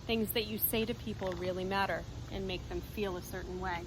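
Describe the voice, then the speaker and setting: A woman reading a storybook aloud expressively in a teacherly manner, pausing to explain and stress the moral. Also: fairly even intonation. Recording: clearly noisy recording with ambient rumble.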